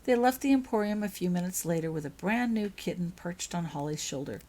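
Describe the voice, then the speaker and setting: A woman reading her own fiction aloud as an expressive storyteller. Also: lowish voice, a little fast. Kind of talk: audiobook-style narration of fan fiction.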